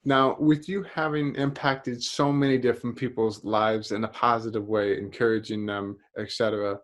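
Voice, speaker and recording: Man asking long, winding interview questions. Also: mid-range voice. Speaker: interview host.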